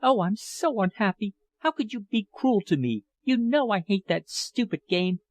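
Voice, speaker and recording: A man's voice: high voice, animated, normal speed, pitched above the performer's own voice. A man reading a novel aloud, voicing a lovesick older woman who pleads through tears. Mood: tearful. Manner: pleading, tearful.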